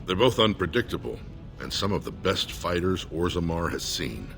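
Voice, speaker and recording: A man playing a loyal, earnest dwarven soldier with a formal, measured delivery in a video game. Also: low-pitched, very animated, creaky, music in the background, exaggerated voice. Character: loyal dwarven soldier, solemn supporter of his lord. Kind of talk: scripted video game dialogue.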